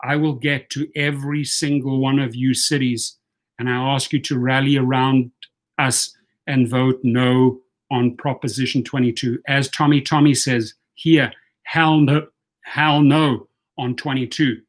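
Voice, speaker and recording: A man's voice: mid-range voice, flat delivery, a little slow. A man with a South African accent hosting a livestream, rallying viewers and calling out long lists of cities and viewer comments. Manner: reads viewer comments aloud. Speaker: channel host. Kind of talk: livestream call to action.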